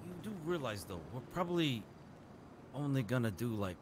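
A man's voice: mid-range voice, sing-song, moderate pace, noisy background. A man role-playing in a video game, rambling and repeating himself with casual profanity. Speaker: role-play gamer.

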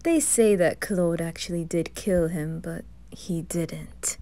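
A woman voicing a reserved, concerned young male aristocrat, quiet and understated, in an ASMR roleplay. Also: fairly low pitch, slow pace. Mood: subdued reassurance.

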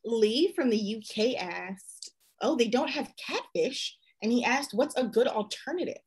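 A woman in her thirties hosting a live video call, reading audience questions in a measured, friendly way. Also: a little high, lively intonation, husky, rough recording. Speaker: live event host. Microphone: video call, webcam.

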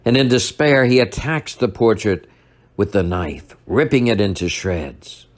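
Older priest preaching with lively storytelling. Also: male voice, on the low side. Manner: measured storytelling, slowing for emphasis. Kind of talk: sermon. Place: church sanctuary at a lectern.

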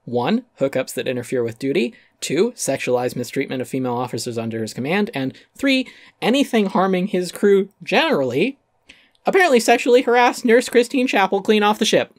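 A man's voice: high voice, sing-song, brisk, no room sound, studio quality. A man giving a dramatic reading of a critical essay, narrating with emphatic, sardonic delivery and some swearing.

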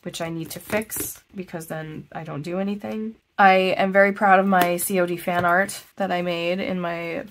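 A woman vlogging as she reviews her planner, chatty and self-deprecating, thinking aloud, her voice slightly hoarse after being ill. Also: lowish voice, steady tone, normal speed, few pauses. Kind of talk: casual chat, plan with me.

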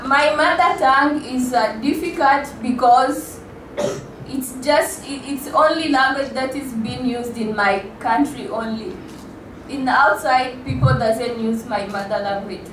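A young woman from Rwanda, speaking English as a second language after Kinyarwanda, gives a slow, careful talk with many pauses. Her voice is fairly high, and she sounds a little distant from the mic. A roomy hall echo and a steady hiss sit behind her. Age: young adult. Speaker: student speaker. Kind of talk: prepared speech at a college event. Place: stage in a college hall. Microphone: stand mic in front of her. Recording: echoey hall, from a distance, with hum.

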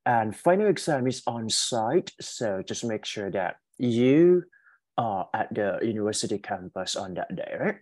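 A man's voice: lowish voice, sing-song, measured pace, a little mellow. A male teacher gives casual instructions to an online class. His intonation swings widely, and he is chatty, often prompting and checking with listeners.

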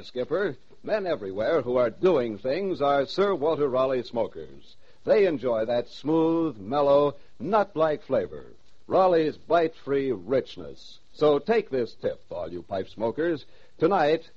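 A hearty male boat designer in a 1940s radio advert. His voice is husky and swings in pitch as he pitches the product, on a muffled old recording. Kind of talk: 1940s radio commercial acting.

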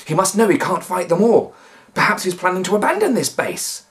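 Earnest young male bullock, voiced by a man, raised pitch, slightly anxious, children's storybook sidekick. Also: very animated, brisk, fairly bright tone. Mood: uneasy.

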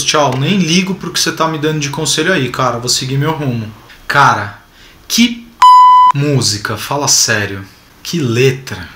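A man in his forties with a Brazilian accent, giving casual, colloquial explanatory commentary as a video host. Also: a little high. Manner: animated, colloquial, rhetorical asides. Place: small indoor home room with bookshelves. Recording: roomy echo, music behind.

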